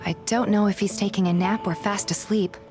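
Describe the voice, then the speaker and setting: A woman playing a curious, polite young game heroine, narrating in the first person and speaking her dialogue in an earnest, gentle manner. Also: medium pitch, fairly even intonation, a little fast, over music, lightly acted. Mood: earnest, reflective.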